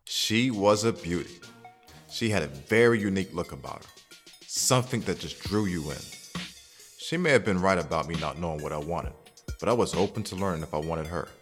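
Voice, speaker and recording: A man's voice: low voice, expressive, fairly quick, faint music behind. A man playing a confident, smitten young single man in an audio drama, talking in a slangy, conversational way. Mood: reflective. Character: cocky, smitten young single man telling his story. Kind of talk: audio drama narration and dialogue.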